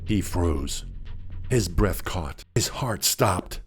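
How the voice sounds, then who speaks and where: A man narrating a scripted mystery story in a dramatic, suspenseful way with deliberate phrasing. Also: low voice, animated, over music.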